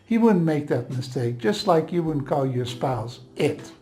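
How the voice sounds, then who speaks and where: A man's voice: on the high side, animated, measured pace, darkish tone. Dutch-accented man teaching Bible grammar. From Netherlands. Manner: earnest, explanatory, reassuring asides.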